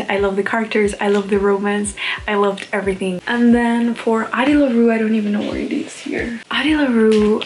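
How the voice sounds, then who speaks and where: A young woman from Spain, speaking English, chatting candidly and often softly about books in her bedroom, filmed at arm's length. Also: mid-range voice, low-quality recording. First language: Spanish. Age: young adult. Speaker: book vlogger. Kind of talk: casual vlog chat. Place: bedroom at home. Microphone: on-camera mic, camera at arm's length.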